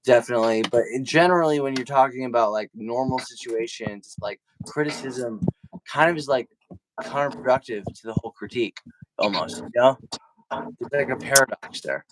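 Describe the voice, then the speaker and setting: A man's voice: mid-range voice, normal speed, background noise. A man hosting a casual livestream, rambling informally and correcting himself as he talks. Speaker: livestream host and singer-guitarist.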